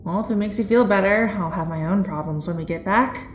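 A woman's voice: medium pitch, a little fast, echoey room, a little hiss or hum, lightly acted. An actress playing a frank, supportive teenage girl hero in an audio drama, chatty and direct. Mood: frank, friendly.

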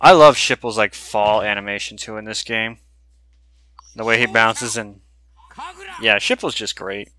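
Bright-voiced man joking over a video game. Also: lowish voice, fairly even intonation, measured pace, long pauses. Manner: joking, profane, slangy banter. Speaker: gaming streamer. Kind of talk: casual game playthrough commentary.

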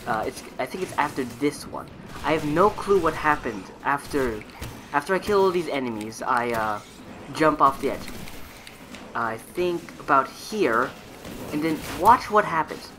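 A man's voice: on the high side, lively intonation, measured pace. A young man giving casual, rambling, self-mocking voiceover commentary on his own gameplay clips. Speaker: gaming video creator. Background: game music.

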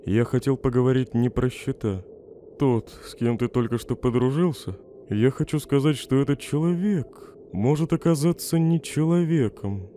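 A man's voice: medium pitch, normal speed, mellow, slight background noise, natural voice. A man voicing a snarky, irritable skeleton scientist in a comic dub, sardonic and dismissive. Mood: serious. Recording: faint music behind the voice.

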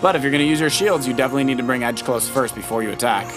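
A man's voice: medium pitch, rapid-fire. A man giving casual, coaching commentary on a video game as someone else plays. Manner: animated, coaching, addresses the player as buddy. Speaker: co-host of a family video game Let's Play. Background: video game music.

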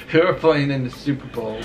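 A man's voice: medium pitch, measured pace, noisy background. A man in his forties from Cincinnati, Ohio, adding short joking remarks while co-hosting a family vlog at home. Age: 40s. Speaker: co-host husband. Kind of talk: casual chat to camera. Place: indoor room at home.

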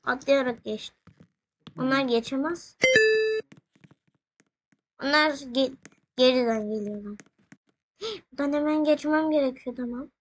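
A woman's voice: high-pitched, measured pace, pauses often. A young female gaming host giving playful, casual commentary in a sing-song, exclaiming manner. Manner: sing-song, exclaiming, humming nonsense syllables. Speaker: young gaming video host playing alongside parent. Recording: very noisy home recording with clicks.

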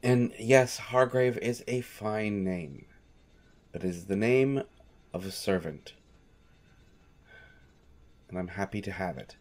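A man's voice: fairly low pitch, takes it slowly, pauses often, a little mellow. A man playing a devoted, patient manservant in an audio roleplay, polite and dryly wry, with hesitant phrasing. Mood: earnest, proud. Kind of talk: scripted audio roleplay, speaking directly to listener.

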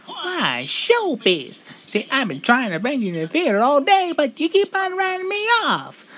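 A pushy little showbiz critter, played by a woman, very high and swooping in pitch. It is excitable cartoon stage patter on a noisy, clicking recording. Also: normal speed, exaggerated voice. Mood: excited.

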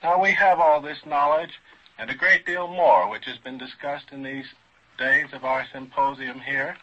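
A man moderating a formal scientific panel in a mid-century radio style, deliberate and courteous. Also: mid-range voice, bright, forceful tone. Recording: dull vintage radio recording.

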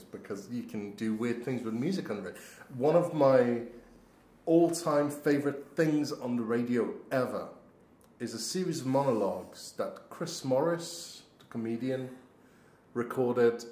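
Halting male podcast host, roomy echo. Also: medium pitch, a little mellow. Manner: halting, searching for words. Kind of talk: casual chat. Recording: roomy, echoing space.

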